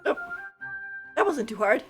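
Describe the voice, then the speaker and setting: Female game streamer, higher-pitched, casual chatty commentary, faint game music behind. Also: very animated, unhurried. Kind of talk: casual live gameplay commentary.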